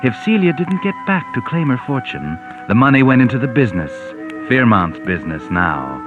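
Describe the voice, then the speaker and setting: Thin, phone-like old radio sound with music behind. An actor plays a sardonic American mystery narrator, low and knowing, with a theatrical, widely swooping delivery from the 1940s. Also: male voice, sing-song, normal speed, lightly acted. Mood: knowing, mocking. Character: sly, omniscient sardonic narrator of crime tales.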